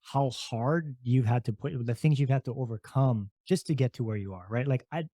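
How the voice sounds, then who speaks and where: A male podcast host who asks long, rambling questions in a relaxed, conversational way. His voice sits at a middle pitch. The recording is dry and close. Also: moderate pace, no room sound. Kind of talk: casual interview talk.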